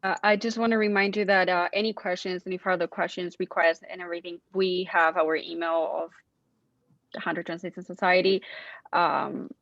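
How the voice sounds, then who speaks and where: A woman's voice: fairly low pitch, fairly even intonation, normal speed, fairly bright tone, no echo. A young woman from Spain with a Spanish accent, effusively closing a student event on a video call, with many restarts. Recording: dull lo-fi call audio.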